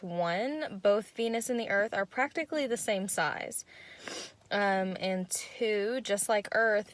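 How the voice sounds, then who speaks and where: Young woman, student presenter, squeaky voice, mid-range pitch, dry close recording, steady numbered delivery. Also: no room sound. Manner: reads points in order with frequent ums.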